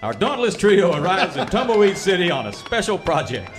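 A mock-dramatic radio serial announcer. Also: male voice, high voice, very animated, fairly quick, over music. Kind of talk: florid scripted old-time radio narration parody.

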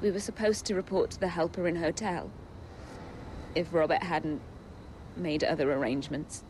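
A woman's voice: fairly low pitch, fairly even intonation, moderate pace, a little mellow, a little hiss or hum, lightly acted. An actress playing a jaded, self-absorbed female celebrity stranded in a zombie apocalypse, cynical and sardonic, in a video game. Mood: weary, defensive. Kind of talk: video game cutscene acting.

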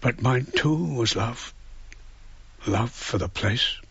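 An actor playing a regretful, reflective elderly man looking back in a radio drama monologue, with a husky, worn voice. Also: mid-range voice, takes it slowly, highs cut off, full character voice. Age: older.

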